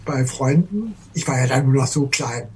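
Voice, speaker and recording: An older man haltingly recounting his memories as an interviewee. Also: mid-range voice, expressive, darkish tone, a little hiss or hum, poor audio. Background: music.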